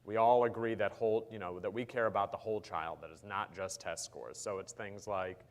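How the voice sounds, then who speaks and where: A man with a low-pitched voice speaks at a conference panel. He explains policy in a measured way, often pausing on ums and uhs. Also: steady tone. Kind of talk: panel discussion answer.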